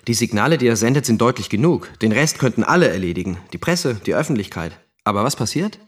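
An actor playing an earnest, critical radio trainee, reflective and sardonic in an audio drama monologue. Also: male voice, medium pitch, animated, fast, breathy edge. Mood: bitter, reflective. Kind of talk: narrated monologue in a German audio play.

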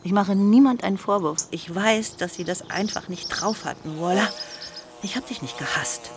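An actress in a radio play voicing a bitter, wounded sister, accusing and resigned in a deliberate manner. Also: female voice, lowish voice, animated, background noise. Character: bitter, wounded sister confronting her brother. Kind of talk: modern radio play acting.

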